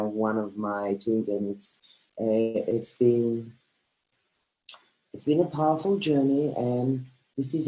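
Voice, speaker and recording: A woman from Bulgaria giving a slow, heartfelt spiritual talk as a guest. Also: extremely low pitch, a little mellow, low-quality recording. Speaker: guest speaker.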